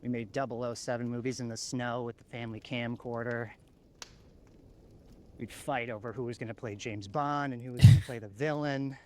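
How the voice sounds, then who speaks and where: A man's voice: medium pitch, fairly even intonation, normal speed, dark tone, slight background noise, close to an everyday voice. A man playing a haunted, reflective lone apocalypse survivor, confiding in a plain-spoken found-footage horror monologue. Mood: reflective, wistful.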